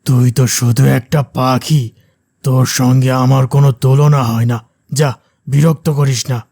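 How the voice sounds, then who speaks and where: Actor doing a cartoon rakshasa, dark crackly voice, menacing bully who thinks he owns the forest. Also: mid-range voice, flat delivery, moderate pace, dry recording, clean studio sound, lightly acted. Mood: scornful. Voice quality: gravelly. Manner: taunting, domineering. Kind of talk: cartoon character acting.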